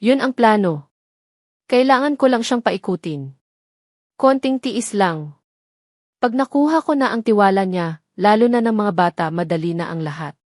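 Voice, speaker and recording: A woman's voice: mid-range voice, lively intonation, moderate pace, pauses often, a little mellow, no echo, clean studio sound, close to an everyday voice. A woman voicing a sly, calculating female schemer after money in an audio drama. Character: calculating gold-digger woman plotting on a recording.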